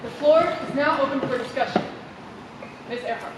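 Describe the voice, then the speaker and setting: A woman's voice: high voice, brisk, natural voice. A woman acting in a stage play as a stern, formal, imperious chairwoman of a meeting. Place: theater stage, far from the mic. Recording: echoey noisy hall from far off.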